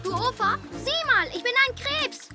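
A very high, childlike voice as an eager cartoon schoolboy. He sounds earnest and thinks aloud, with music playing behind him. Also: lively intonation, moderate pace. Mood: excited. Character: eager young zebra boy, thoughtful schoolchild. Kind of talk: dubbed children's cartoon dialogue.

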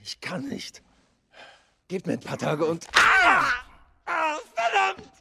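A man's voice: very high voice, wildly swooping pitch, takes it slowly, gravelly, pushed and bright, a little hiss or hum, full character voice. An actor playing a beaten, cornered male arms dealer in a video game, pleading and whining in pain. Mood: desperate, pained. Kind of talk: dramatic video game acting, German dub.

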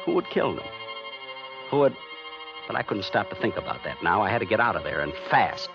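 An actor playing a frantic everyman on the run, narrating a 1950s radio thriller with tense, dramatic phrasing. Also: on the low side. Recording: dull old 1951 radio recording, loud music.

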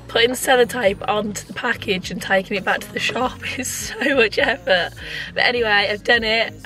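A woman in her twenties with a Birmingham accent and a hoarse, lost voice, chatting candidly to camera in a vlog from inside a car. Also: a little high, a bit bright, rough recording. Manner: rambling, candid, frequent "like". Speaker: vlogger. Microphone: handheld camera close up.

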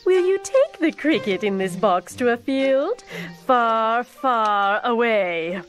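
Casting: imperious cartoon lady of the house, theatrical, swooping high voice, music behind. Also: lively intonation. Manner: grand, melodramatic, drawn-out. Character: haughty, dramatic Chinese magistrate's wife. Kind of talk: animated children's cartoon acting.

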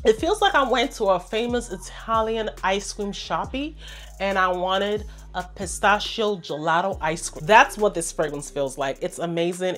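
A woman reviewing perfumes to camera in an enthusiastic, chatty way, congested and a little hoarse from a cold. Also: medium pitch. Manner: chatty, enthusiastic, addresses viewers as "you guys". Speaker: fragrance reviewer. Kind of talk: casual product review to camera.

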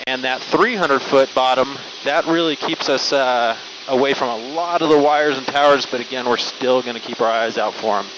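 Man, flight instructor, casual explaining, reassuring, medium pitch, headset mic, noisy helicopter cabin. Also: normal speed, hardly any pauses. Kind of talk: casual explanatory narration while flying. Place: small helicopter cockpit in flight. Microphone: aviation helmet headset mic. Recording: loud helicopter cabin noise, headset audio. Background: helicopter engine and rotor noise.

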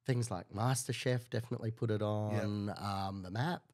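A middle-aged man answering interview questions in a calm, explanatory way with short declarative phrases. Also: on the low side, moderate pace. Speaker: food marketing guest. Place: bar-like studio room, indoors. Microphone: desk mic on a stand.

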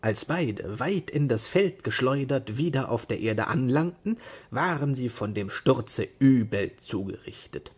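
A man reading a storybook tale as narrator, in an expressive, deliberate manner. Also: mid-range voice, slightly rough.

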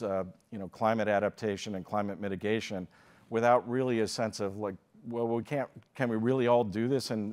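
An older American man speaking at a lectern microphone on a conference stage, giving a keynote with measured delivery and frequent filler pauses. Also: on the low side, a little mellow. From United States.

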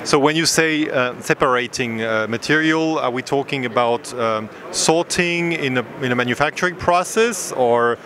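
A middle-aged man interviewing in a halting, hesitant way at a trade show, speaking into a handheld microphone. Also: mid-range voice, fairly bright tone. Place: busy exhibition hall booth. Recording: noisy trade fair hall ambience.

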